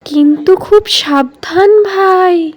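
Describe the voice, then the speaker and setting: A woman's voice: extremely high pitch, slow, highs cut off, voice pushed up. A woman voicing a sensible, kind village girl, an earnest and cautioning older sister in a cartoon. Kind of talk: cartoon dialogue.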